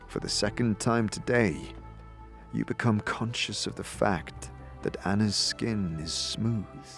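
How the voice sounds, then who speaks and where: A man narrating a dramatic second-person story as a game master, in a measured, deliberate, atmospheric manner. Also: fairly low pitch, vocal fry. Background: music.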